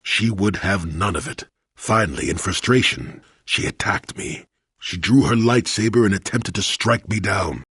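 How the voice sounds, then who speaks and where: An actor playing a gruff, rueful old former Jedi in a video game, an elderly storyteller with a gravelly voice and a wry, rambling, dramatic way of talking. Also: male voice, fairly low pitch, animated, normal speed, fairly bright tone, no room sound, clean studio sound, full character voice. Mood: regretful, sorrowful. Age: older. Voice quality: gravelly, husky. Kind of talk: scripted video game voice acting.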